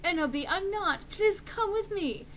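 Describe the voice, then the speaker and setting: A woman's voice: extremely high pitch, animated, a little slow, a bit bright, pitched above the performer's own voice, full character voice. A woman voicing an awkward, pleading teenage goth boyfriend in a story reading, hesitant, earnest and defensive. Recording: noisy amateur home recording.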